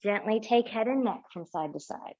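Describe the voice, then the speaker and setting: Gentle yoga teacher woman, muffled sound. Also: medium pitch, animated. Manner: slow, gentle cueing with repeated affirmations. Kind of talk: guided yoga class instruction. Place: small home yoga studio, indoors.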